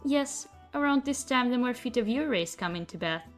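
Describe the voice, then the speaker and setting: A woman's voice: fairly high pitch, lively intonation, normal speed, close to an everyday voice. A woman voicing a courteous, gossipy cat-girl bathhouse attendant in a fantasy audiobook, with formal phrasing. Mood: friendly, teasing. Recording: music behind the voice.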